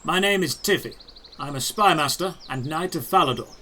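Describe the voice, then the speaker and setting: A man playing a calm, all-knowing old knight spymaster in a fantasy audio drama, measured and emotionless. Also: a little high, a little hiss or hum. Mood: matter-of-fact. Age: older. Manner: measured, emotionless, matter-of-fact.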